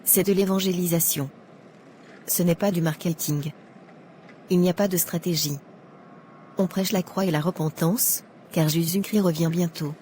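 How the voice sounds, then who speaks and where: Woman playing a preachy, judgmental young woman, low soft-toned voice. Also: steady tone, moderate pace, pauses often, a little hiss or hum, natural voice. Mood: indignant. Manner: preachy, lecturing. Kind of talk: scripted cartoon dialogue. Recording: music behind the voice.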